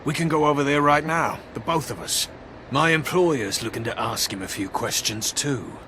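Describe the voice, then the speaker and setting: Animated, cagey streetwise investigator played by a man. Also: mid-range voice, normal speed, background noise, natural voice. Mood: defensive, agitated. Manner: streetwise, evasive, slangy. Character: cagey, wisecracking streetwise hired investigator in his prime. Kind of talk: noir video game voice acting.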